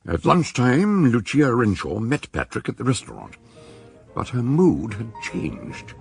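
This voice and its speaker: A man acting as an observant companion who narrates a radio mystery in a measured storytelling manner. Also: medium pitch, huge pitch swings, vocal fry, slightly rough, dry recording, soft background music, full character voice. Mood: measured, recounting. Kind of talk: scripted first-person drama narration.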